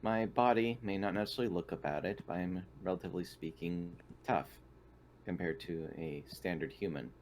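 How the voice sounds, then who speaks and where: A man's voice: low-pitched, brisk, darkish tone, a little hiss or hum, close to an everyday voice. A man playing a polite, literal-minded android in a tabletop roleplaying game, speaking in formal, wordy, clinical phrases. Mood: clinical, measured. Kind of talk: in-character tabletop roleplay over online game.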